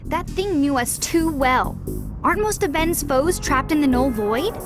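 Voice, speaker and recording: Game girl sidekick: smart, level-headed kid, high pitch, matter-of-fact questioning delivery. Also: animated, unhurried. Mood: puzzled. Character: clever, sensible young girl sidekick. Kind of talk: video game cutscene voice acting. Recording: loud music under the voice.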